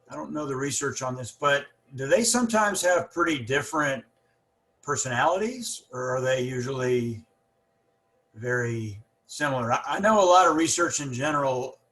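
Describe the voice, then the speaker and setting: A man's voice: mid-range voice, animated, moderate pace, a bit bright, low-quality recording. A man who stutters, hosting a casual podcast conversation and musing aloud, often ending his points with a questioning "right?". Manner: reflective, ends with "right?". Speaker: podcast host.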